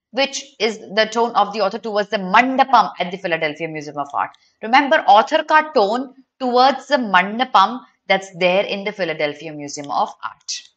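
Young female exam tutor, Indian accent, bright forceful tone, rapid emphatic explaining, code-switches. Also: medium pitch, dull audio. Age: young adult. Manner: mixes Hindi and English, addressing students directly. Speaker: exam prep teacher. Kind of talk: online lesson explaining answer options to students.

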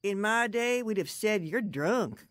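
A woman playing a loving but stern elderly grandmother in a radio drama, earnest and gently admonishing. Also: medium pitch, lively intonation, measured pace, no room sound, studio quality, lightly acted.

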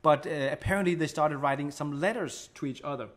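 A man in his thirties with a Danish accent, co-hosting a livestream from home, searching haltingly for English words. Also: a little high, fairly bright tone. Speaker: co-host of a music livestream. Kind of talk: casual chat. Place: living room at home. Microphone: studio mic on stand, far camera.

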